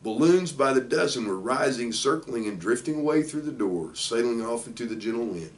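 Older male storybook reader, medium pitch, measured phrasing, recorded a little way from the microphone in a room. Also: animated, recorded from a distance. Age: older, around 60. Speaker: football coach reading a children's book aloud. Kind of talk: storybook read-aloud. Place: small indoor office. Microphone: camera a few feet away.

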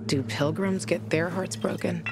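A woman playing a tough, guarded fighter in her thirties in a video game, speaking in a hushed, wry and bitter way. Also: on the low side, steady tone, a little slow, over music. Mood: wry, playful. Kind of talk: intimate cinematic game dialogue.

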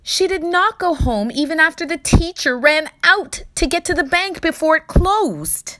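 A woman narrates a children's storybook in a high, bright voice. She reads slowly and deliberately, giving phrases theatrical emphasis. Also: animated. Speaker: storyteller reading a children's book aloud. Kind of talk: expressive scripted narration for children.

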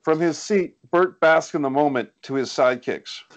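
A man's voice: on the high side, normal speed, slight background noise. A man reading scripted stage directions as narrator of a screenplay table read. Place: indoors on a group video call.

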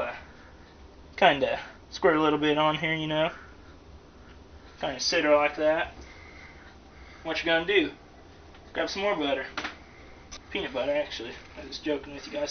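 A man giving a casual, joking cooking demonstration in a folksy, slangy, rambling way, recorded in a home kitchen. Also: fairly high pitch. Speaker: host of amateur comedic cooking show. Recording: distant, dull lo-fi, loud background noise.

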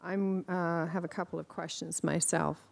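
A woman's voice: fairly low pitch, little pitch movement, moderate pace, creaky. Firm woman councillor in a reverberant chamber. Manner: emphatic, firm. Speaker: committee chair and councillor. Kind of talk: council meeting remarks.

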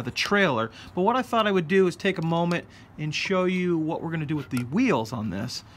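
A man hosting a casual scale-model painting tutorial, explaining each step in a relaxed way. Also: fairly high pitch, a little hiss or hum. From United States. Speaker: hobby shop host. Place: hobby workbench indoors.

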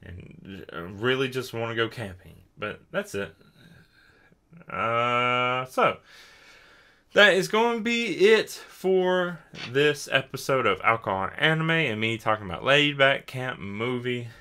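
Young man, podcast host, rambling, tipsy, bright voice, swooping intonation. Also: mid-range voice, expressive, takes it slowly, clear, resonant tone. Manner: rambling, self-deprecating, easily sidetracked. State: tipsy from drinking. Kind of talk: casual rambling podcast outro.

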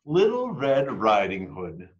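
Very animated, slow-paced American man reading. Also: fairly low pitch, dull audio. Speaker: storybook reader for a school. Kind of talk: animated children's read-aloud.

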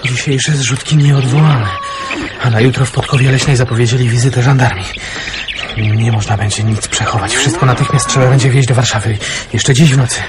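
A man's voice: medium pitch, steady tone, fairly quick, heavy background noise, close to an everyday voice. An actor playing an eager, cheeky male resistance messenger in a radio drama, with an insistent, folksy goading manner. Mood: urgent. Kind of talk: Polish radio drama acting.

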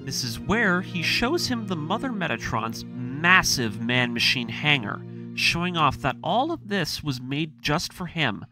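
Animated male narrator over music. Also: a little high. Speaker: narrator of an anime story breakdown series. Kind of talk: scripted narration and commentary. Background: background music.

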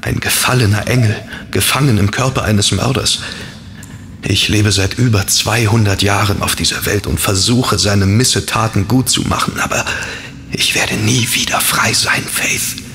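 Gravelly, weary fallen angel. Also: male voice, fairly low pitch, moderate pace, pushed and bright, soft background music. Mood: bitter, sorrowful. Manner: confiding, sombre, weary. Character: melancholy, world-weary fallen angel in a man's body. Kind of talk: horror audio drama acting.

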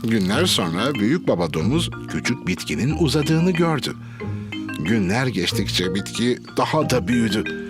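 A man's voice: medium pitch, big pitch swings, moderate pace, natural voice. A man narrating a scripted children's cartoon as a storyteller for small children, with music underneath. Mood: storytelling.

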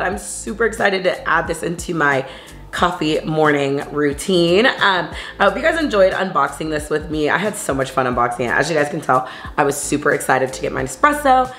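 A young woman vlogging from her home kitchen, chatty and bubbly, calling viewers babes and honey. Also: fairly low pitch. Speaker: lifestyle vlogger. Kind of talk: casual chat to camera. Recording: echoey kitchen, soft background music.

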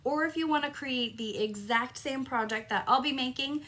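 Cheery craft lady doing a live stream from her craft room, kinda froggy throat, bright voice. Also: high voice, moderate pace. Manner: upbeat, greets viewers by name. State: slightly hoarse, recovering from lost voice. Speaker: crafting demonstrator and host. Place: home craft room, indoors.

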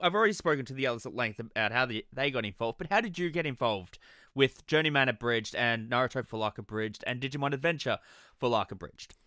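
Bright, animated male interview host. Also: medium pitch, fast talker, no echo, studio quality. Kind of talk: upbeat online cast interview.

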